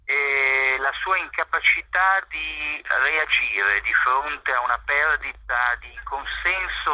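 A man, a journalist, answering interview questions in a measured way, pausing often with fillers. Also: on the high side, sharp tone, dry recording, dull audio. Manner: measured, hesitant with frequent fillers.

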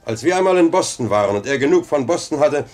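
An actor playing a self-assured, successful older brother in a 1950s German radio drama, speaking in a measured, declamatory way. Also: male voice, mid-range voice, animated, a little mellow, soft background music, natural voice. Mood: proud. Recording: old 1950 radio play recording.

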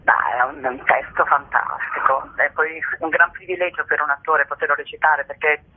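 A woman's voice: sits low, fairly even intonation, normal speed, slightly rough. An actress giving an earnest radio interview, searching for her words. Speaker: theatre actress, interview guest. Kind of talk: thoughtful radio interview talk. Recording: narrow telephone line.

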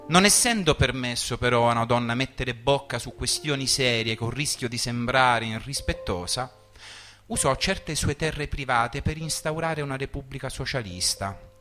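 A man performing a theatrical, ironic biographical monologue in a wry, deadpan manner. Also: mid-range voice. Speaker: stage performer. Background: music.

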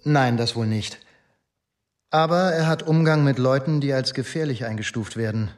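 An actor playing a dutiful but insistent police inspector in a radio drama, formal, firm and matter-of-fact. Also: male voice, mid-range voice, normal speed, close to an everyday voice. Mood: insistent, assured.

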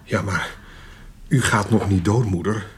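An actor in a radio play playing a gruff but caring farmer son, colloquial and hesitant, often repeating his words. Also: fairly low pitch, a little slow. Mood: gentle, reassuring. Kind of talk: naturalistic radio play acting.

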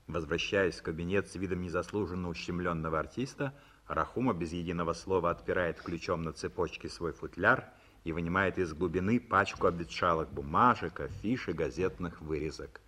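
An actor serving as narrator of a radio play, reading stage directions in composed scripted narration. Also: male voice, low-pitched, a little fast, sharp tone. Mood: matter-of-fact.

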